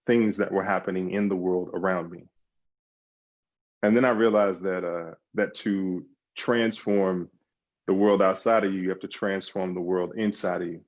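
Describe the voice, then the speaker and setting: Low-voiced man, dull lo-fi sound. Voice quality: repeats words, emphatic restarts. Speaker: podcast guest, speaker. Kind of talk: conversational interview answers.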